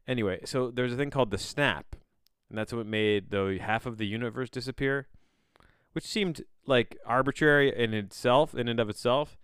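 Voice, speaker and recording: A man hosting a solo podcast, chatting in a rambling, halting way, often backtracking mid-sentence with a bemused, self-deprecating manner. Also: medium pitch, fairly bright tone, smooth, no echo, clean studio sound. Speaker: podcast host.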